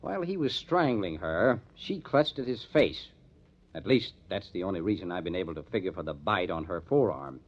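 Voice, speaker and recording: An actor playing a hard-nosed, determined police detective in an old-time radio crime drama, speaking in a measured, emphatic way. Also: male voice, low voice, big pitch swings, husky, exaggerated voice. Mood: grimly determined. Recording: dull lo-fi old radio recording.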